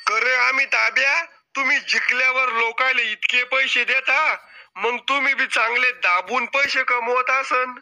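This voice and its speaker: A man's voice: fairly even intonation, a little slow, sharp tone, full character voice. A man voicing a cunning, troublemaking old village grandfather in a cartoon, talking in a blunt, rustic, boastful way. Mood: scheming. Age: older. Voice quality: high squeaky cartoon voice. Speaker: game show contestant. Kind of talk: cartoon comedy acting.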